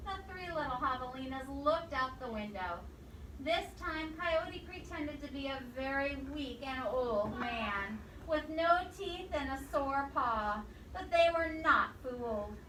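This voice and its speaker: A woman reads a children's story aloud in a high, bright voice. She is expressive and breaks off to ask her listeners questions. The recording is roomy, with the mic some way off. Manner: expressive, pausing to quiz young listeners. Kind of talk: interactive picture-book read-aloud. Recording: roomy echo, distant mic, faint noise.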